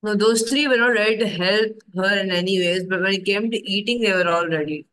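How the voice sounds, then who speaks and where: A woman speaks as a participant in a group discussion. Her voice is medium in pitch and her answers are unscripted. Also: fairly quick, a bit bright, rough recording. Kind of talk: spontaneous discussion.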